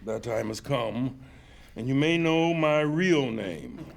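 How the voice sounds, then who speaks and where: Slow, solemn underground prophet. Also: male voice, mid-range voice, expressive, vocal fry. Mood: grave, mysterious. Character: solemn cryptic underground-army leader in sunglasses and leather coat. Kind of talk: live script table read, dramatic. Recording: faint noise, live room table read.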